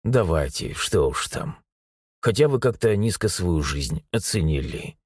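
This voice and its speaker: Gruff reserved medic guy, narrator dropping his voice, short grudging replies, dry sound. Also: low-pitched, big pitch swings, unhurried, darkish tone, no echo, pitched below the performer's own voice, heavily acted. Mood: curt. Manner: curt, reluctant. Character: reserved, unfriendly male medic.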